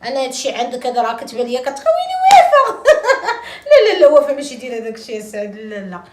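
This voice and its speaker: A woman's voice: sits high, huge pitch swings, rapid-fire. A woman playing a mocking, bossy Moroccan wife. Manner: laughing, scolding, shouting. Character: sharp-tongued married woman, mocking and bossy. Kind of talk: amateur short-film acting. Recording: roomy echo.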